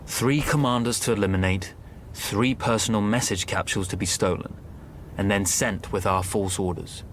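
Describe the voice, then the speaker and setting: An actor playing a composed officer who recounts a desert war mission in measured, assured storytelling for a video game. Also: male voice, fairly low pitch, fairly even intonation, a little hiss or hum, close to an everyday voice. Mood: calm, grave. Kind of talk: scripted dramatic game narration.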